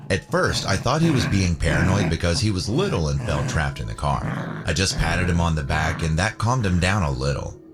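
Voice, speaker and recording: Very deep male narrator over music. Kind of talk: scripted first-person story narration. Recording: music bed under the voice. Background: background music.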